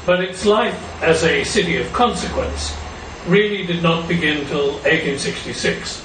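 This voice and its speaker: An older man with an Australian accent giving a measured, prepared lecture as guest speaker at a forum. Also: on the high side, expressive. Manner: measured, formal delivery. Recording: roomy hall, distant mic, noise.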